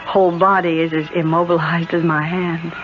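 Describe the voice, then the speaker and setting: Gentle, guarded lady played by an actress in seventies radio drama; measured, polite, dulled by noisy old broadcast. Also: on the low side, level tone, dull audio, natural voice. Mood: gentle, sympathetic. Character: gentle, guarded woman whose hands are paralyzed. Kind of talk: 1970s radio drama acting.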